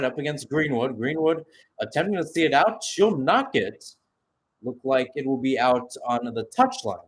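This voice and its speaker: Man calling live soccer play-by-play. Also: mid-range voice. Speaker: radio play-by-play commentator.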